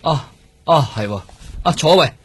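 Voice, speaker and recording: A man acting in a Cantonese radio drama as an exasperated, grumbling middle-aged police superior, with a husky voice and Hong Kong accent. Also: on the high side, animated, moderate pace, slight background noise, lightly acted.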